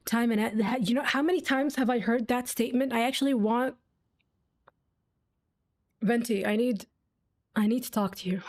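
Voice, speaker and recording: A woman's voice: on the high side, a little mellow. A young adult woman reacting casually on a live game stream in a chatty, slangy, informal way. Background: game music.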